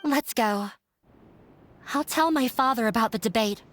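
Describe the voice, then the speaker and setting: Woman playing a high-voiced, resolute young runaway. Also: unhurried, soft background music, close to an everyday voice. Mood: determined. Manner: earnest, resolute. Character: proud, determined young runaway woman of a theater troupe. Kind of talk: anime video game voice acting.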